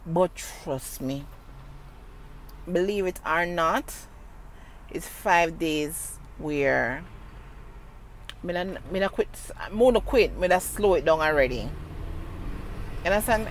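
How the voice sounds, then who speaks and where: A middle-aged woman, talking casually to her phone camera from inside a car, emphatic and repetitive, speaking into a wired earphone mic. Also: medium pitch. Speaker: vlogger. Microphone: wired earphone mic, phone held close. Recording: car and street noise.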